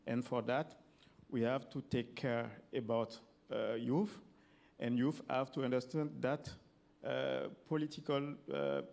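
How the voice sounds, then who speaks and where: A man with a French accent, a government minister giving a formal speech in a slow, measured way with hesitant pauses. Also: medium pitch, expressive, gravelly, darkish tone. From French-speaking African country.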